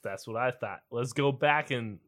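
A man's voice: mid-range voice, moderate pace, a bit bright. A man playing a tabletop game who talks in a dry, matter-of-fact way. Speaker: tabletop player. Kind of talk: casual game chat.

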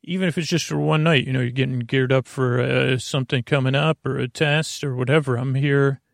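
Gravelly, meandering male bedtime podcast host. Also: medium pitch, steady tone, no echo, clean studio sound. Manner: meandering, halting, self-interrupting, tangent-prone. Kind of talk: rambling bedtime podcast monologue.

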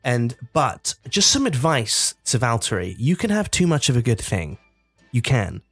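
A man's voice: medium pitch, big pitch swings, normal speed, a bit bright, husky, no echo, soft background music. A man co-hosting a podcast, joking and rambling in casual chat. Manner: joking, rambling, mock-ignorant. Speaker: podcast co-host.

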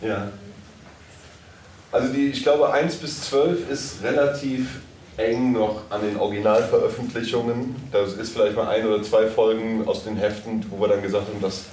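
A man's voice: on the low side, a little mellow. A man answering questions informally as an audio drama producer at a live event. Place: indoor convention hall, live event. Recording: echoey, noisy room, far from mic.

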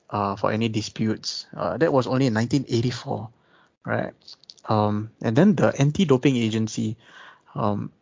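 A man talking through ideas in a casual discussion, measured and pausing often with ums. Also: lowish voice, gravelly, darkish tone, no room sound. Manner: measured, thinking aloud with many ums. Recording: dull dry recording.

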